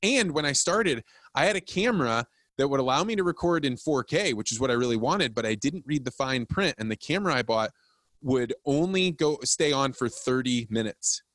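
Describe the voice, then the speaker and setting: Man, podcast host, mid-range pitch, casual explanatory talk, practical advice, dry sound. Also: animated, normal speed, a bit bright, dry recording.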